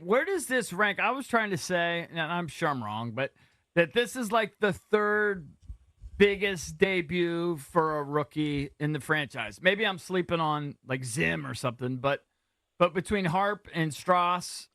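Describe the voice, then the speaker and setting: High-voiced American guy, dry studio sound. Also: expressive, a little slow, sharp tone, dry recording. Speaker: sports radio co-host. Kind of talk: casual sports talk.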